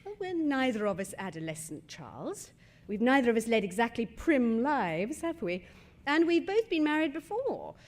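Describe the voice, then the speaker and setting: An actress playing a poised, sharp-tongued middle-aged wife in a stage comedy, with a crisp, ironic British delivery. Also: female voice, a little high, big pitch swings, moderate pace. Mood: irritated, cutting. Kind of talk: stage comedy radio acting.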